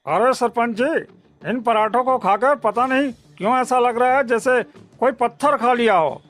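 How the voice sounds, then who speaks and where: A man's voice: very high-pitched, normal speed, fairly bright tone, faint music behind. A man playing a hungry, chatty wedding guest in a Hindi cartoon story. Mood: complaining.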